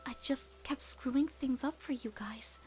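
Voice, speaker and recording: A woman voicing an anguished, guilt-ridden teenage girl in an emotional cartoon comic dub, with a raw, halting delivery. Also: high voice, darkish tone, faint music behind. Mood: soft, soothing regret.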